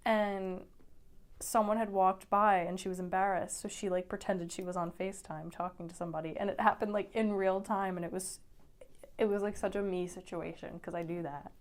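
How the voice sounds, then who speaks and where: A woman's voice: mid-range voice, a little fast. Young woman chatting, full of "like". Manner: relaxed, uses "like" a lot, laughs easily. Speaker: podcast co-host. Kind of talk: casual chat.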